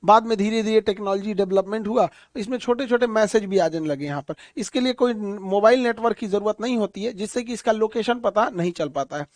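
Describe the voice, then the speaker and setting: A man's voice: high voice, fairly quick, hardly any pauses, no echo. A man explaining current affairs like a teacher, in colloquial language with repeated phrases and everyday examples.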